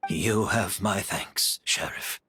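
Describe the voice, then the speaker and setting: Frail, very husky old master. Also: male voice, low voice, slow, pushed and bright, in a whisper, exaggerated voice. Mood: grateful. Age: older. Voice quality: husky, breathy. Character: frail, calm, weary old perfumer master. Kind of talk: video game voice acting.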